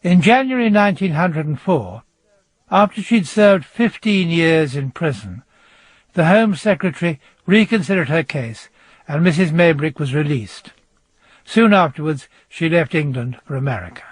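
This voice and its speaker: Guy narrating true crime on radio, really husky, highish voice, measured, super dry studio sound. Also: animated, no echo. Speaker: presenter and narrator.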